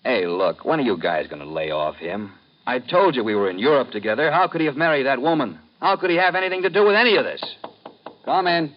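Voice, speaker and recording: An actor playing a defensive, insistent former army buddy in a vintage radio drama. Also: male voice, medium pitch, lively intonation, quick pace, natural voice. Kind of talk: 1950s radio drama acting. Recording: dull vintage radio broadcast.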